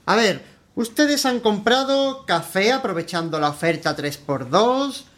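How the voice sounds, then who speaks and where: A man's voice: high voice, animated, unhurried, a bit bright. A man performs an unctuous supermarket salesman in a radio drama. He has an accent from Spain and a theatrical, over-polite sales patter, always gushing and eager to impress the customer. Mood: gushing salesmanship. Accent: Spanish from Spain. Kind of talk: radio play acting.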